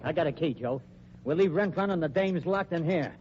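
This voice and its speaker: An actor playing a dim, panicky gangster underling in an old-time radio drama, slangy and defensive. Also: male voice, a little high, brisk, a little mellow, slight background noise, natural voice. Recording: muffled old radio recording.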